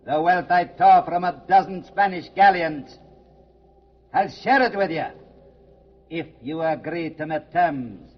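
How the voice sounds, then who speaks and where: An actor voicing the gloating, menacing ghost of an old Scottish pirate captain in a theatrical vintage radio drama style. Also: male voice, on the high side, a little slow. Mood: sly, menacing. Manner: theatrical, archaic seafaring speech. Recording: dull vintage radio recording with music behind.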